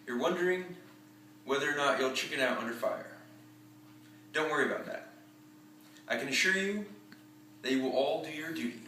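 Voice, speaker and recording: A man performing a theatrical war-movie monologue as a tough, commanding American army general, emphatic and deliberate with dramatic pauses. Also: fairly high pitch, animated, sharp tone, a little hiss or hum. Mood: fierce, commanding. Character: tough, profane American army general rallying his troops. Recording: roomy echo, distant microphone.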